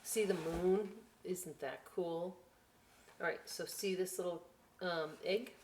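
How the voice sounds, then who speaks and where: A woman's voice: lowish voice, steady tone, slow, a little mellow, slight background noise. A middle-aged woman reading picture books to young children at a library storytime, playful and conversational, with chatty asides. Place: inside a library.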